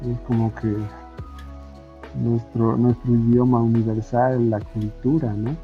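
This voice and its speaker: A Bolivian man speaks slowly and haltingly at a middling pitch, chatting as an interview guest and often tagging his phrases with a question. The recording is dull and lo-fi, with music playing behind him. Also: flat delivery. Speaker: podcast guest, comic artist and illustrator. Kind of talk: casual podcast interview. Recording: muffled lo-fi audio with music. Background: background music.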